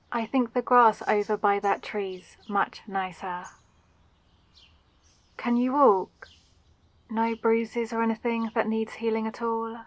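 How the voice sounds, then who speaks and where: A woman voicing a friendly, caring and curious female mage in a fantasy roleplay audio, speaking gently and attentively. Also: on the high side, dry recording. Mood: gentle, caring. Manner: gentle, eager, attentive questioning. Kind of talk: roleplay audio drama for the listener.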